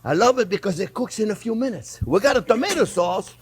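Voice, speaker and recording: A man's voice: sits high, lively intonation, fast, noisy background. A man from France with a French accent, hosting a cooking demonstration in a home kitchen, chatty and enthusiastic, calling his viewers friends. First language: Italian. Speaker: chef host.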